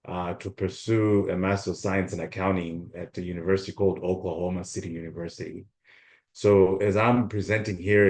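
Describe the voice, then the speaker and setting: A man's voice: sits low, a little mellow, reverberant. A man in his forties from Zimbabwe giving an informal workshop talk over a video call, full of ums and restarts. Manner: hesitant, many ums and restarts. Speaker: guest speaker.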